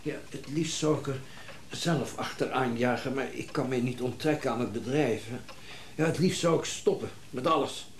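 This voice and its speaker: Rich eager industrialist, fairly high voice, genteel and effusive, radio play, echoey room. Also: male voice, gravelly, darkish tone, slight background noise. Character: wealthy, eager, genteel factory owner, acquisitive husband. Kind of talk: radio drama acting.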